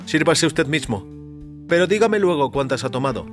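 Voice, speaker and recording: Guy putting on a bartender in an audio story, polite and brisk, pitched up, music underneath. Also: lively intonation, lightly acted. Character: male bar owner, brisk and obliging.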